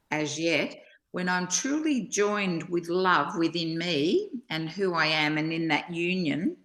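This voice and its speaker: A woman with a slightly low voice speaks slowly in a reflective spiritual conversation. She is contemplative, pausing between short phrases, and the sound is roomy and echoing. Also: a bit bright. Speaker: study discussion partner.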